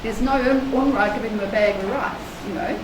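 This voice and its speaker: A woman's voice: on the high side, a little fast. A woman in the audience making a blunt, emphatic point in a seminar discussion. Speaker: audience member. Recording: echoey room, distant and noisy.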